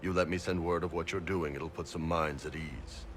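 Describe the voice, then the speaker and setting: An actor playing a big horned Qunari mercenary boss in a video game, blunt, informal and jovial. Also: male voice, low voice, fairly even intonation, moderate pace. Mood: frank, serious. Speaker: mercenary captain and self-declared spy. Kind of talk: video game character dialogue. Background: game music.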